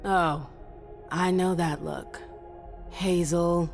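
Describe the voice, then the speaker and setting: A sceptical but loyal female vampire, speaks in a fairly low voice with dry, sardonic ease. It is dramatic game cutscene acting, with music underneath. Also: fairly even intonation, very slow, gravelly, exaggerated voice. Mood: wry, sceptical.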